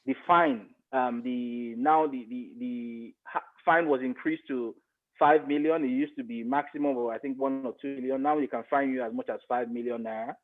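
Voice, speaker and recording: A man's voice: medium pitch, fairly even intonation, normal speed, narrow, tinny audio. A middle-aged man with a Nigerian accent, explaining his view as an expert guest in a podcast interview and repeating points for emphasis. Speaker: guest, broadcast media expert.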